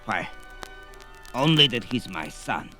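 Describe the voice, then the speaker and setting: Old wizard, bright piercing tone, very animated, indignant, dithering, theatrical, fantasy audio play. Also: male voice, on the low side, takes it slowly, soft background music, full character voice. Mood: flustered, anxious. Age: older. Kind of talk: 1970s fantasy audio drama acting.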